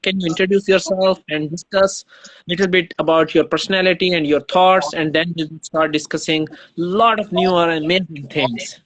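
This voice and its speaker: Male livestream host, Pakistani accent, higher-pitched voice, effusive and wordy, casual interviewing style. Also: steady tone, moderate pace, a bit bright, low-quality recording. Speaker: live stream host.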